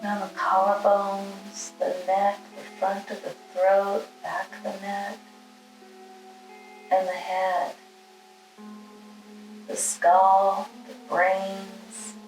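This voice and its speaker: Very slow, soothing woman leading meditation. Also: medium pitch, little pitch movement. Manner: soothing, gentle instruction in short, spaced phrases. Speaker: guided meditation leader. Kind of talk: guided meditation, spoken slowly with long pauses. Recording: roomy, distant mic, music underneath.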